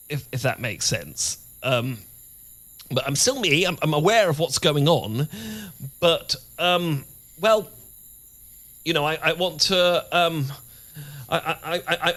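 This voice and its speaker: A British man playing an adorkable, nervous and eager boyfriend with a secret monster side, stammering and halting in a romantic audio roleplay. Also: medium pitch, a bit bright, lightly acted. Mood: flustered, earnest. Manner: flustered, heavy stammering and halting restarts. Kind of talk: romantic audio roleplay acting, addressed to listener.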